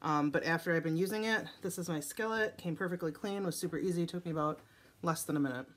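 A woman's voice: fairly low pitch, quick pace. A middle-aged woman with a Wisconsin accent, chatting casually while she cooks at home, rambling along with plenty of fillers. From Wisconsin, United States. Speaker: home cooking vlogger. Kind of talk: casual cook-along chat. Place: home kitchen and dining table.

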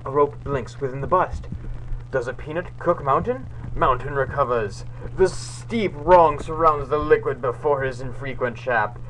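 A man's voice: medium pitch, a little slow, a bit bright. A young man giving a mock-dramatic, theatrical reading of scripted text on a noisy webcam microphone in a room. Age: young adult. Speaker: amateur video maker reading aloud. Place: small room indoors. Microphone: webcam or laptop microphone, close.

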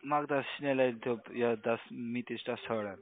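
A man's voice: medium pitch, steady tone, a little slow, slight background noise. Male scam caller, crude and taunting, tinny telephone sound. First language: not German. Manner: crude, taunting, broken phrasing. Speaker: scam call centre caller. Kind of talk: confrontational phone argument. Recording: muffled, narrow phone line.

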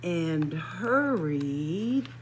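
A woman reading a children's picture book aloud expressively, with dramatic pauses and drawn-out emphasis. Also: on the low side, big pitch swings, a little hiss or hum. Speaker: library story-time reader. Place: library room with bookshelves.

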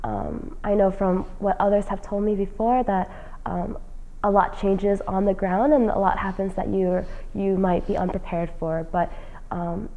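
A woman's voice: medium pitch, steady tone, measured pace, phone-quality sound. A young woman from New Jersey, a graduating college senior, answering interview questions in a casual, earnest way with many "um" fillers. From New Jersey, United States. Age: young adult. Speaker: graduating senior, fellowship recipient. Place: indoor lounge with plants and leather chair.

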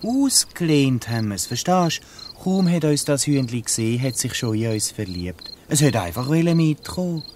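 A man's voice: medium pitch, very animated, moderate pace, noisy background, close to an everyday voice. A man playing a cheeky, playful gang boy in a Swiss German audio drama. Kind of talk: dialect audio drama acting.

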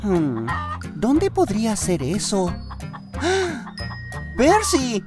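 Eager little boy train, high voice, latin american accent, kids cartoon, music behind. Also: wildly swooping pitch, drawn-out words, exaggerated voice. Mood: excited, curious. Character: cheerful, eager young boy tank engine. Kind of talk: children's cartoon dubbing.

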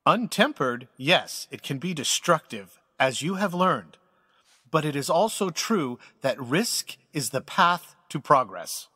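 An actor playing a wise, secretive old space admiral in an audio drama, speaking as a measured, formal, earnest mentor. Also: male voice, a little high, sing-song, sharp tone, breathy edge, no echo, clean studio sound, exaggerated voice.

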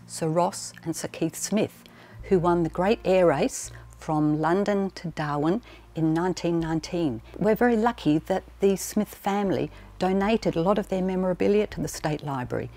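A woman's voice: lowish voice, mellow. A woman, a librarian presenting to camera in a prepared style. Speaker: librarian and exhibition curator presenting. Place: indoors in a large archive storage room among shelving.

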